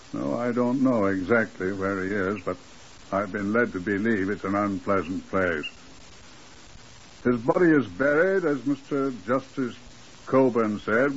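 A man playing a drily macabre crime museum custodian, hosting a 1950s radio drama in a deadpan, unhurried way. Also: fairly low pitch, husky. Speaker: host. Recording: very muffled old radio broadcast.

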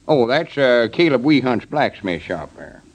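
An actor playing a proud, folksy small-town storekeeper and schemer with a rural Southern US accent, in 1930s radio comedy style. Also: male voice, medium pitch, sing-song, measured pace. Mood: boastful. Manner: folksy, self-satisfied explaining.

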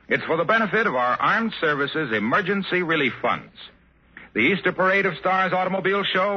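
A man's voice: a little high, breathy edge. An American man reading a formal, scripted sponsor message on 1950s radio. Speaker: sponsor's announcer. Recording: muffled 1950s radio broadcast.

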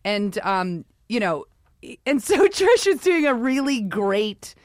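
A woman co-hosting a casual chat, brisk and enthusiastic as she steers the talk. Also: fairly high pitch, sing-song, dry recording, clean studio sound. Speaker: podcast co-host.

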